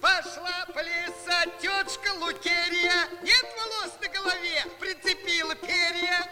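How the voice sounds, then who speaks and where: A man's voice: extremely high pitch, fairly even intonation, unhurried, pushed and bright, heavily acted. A man playing a playful folk singer, singing comic chastushki in a declamatory cartoon style. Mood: playful, boisterous. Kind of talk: sung Russian folk chastushki. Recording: long reverb, music under the voice. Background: plucked folk string instruments.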